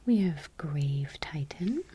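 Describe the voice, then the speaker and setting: A woman doing gentle ASMR, reading aloud in a whispery, breathy, deliberate voice close to the microphone. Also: low voice, lively intonation, a little hiss or hum. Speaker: ASMR video creator. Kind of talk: soft-spoken ASMR, reading trading cards aloud. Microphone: close microphone, dry.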